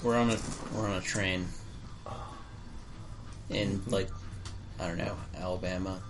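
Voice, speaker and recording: A man's voice: low voice, fairly even intonation, measured pace, soft background music. A man playing in a tabletop roleplaying game, laid-back and dry in his remarks. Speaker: player.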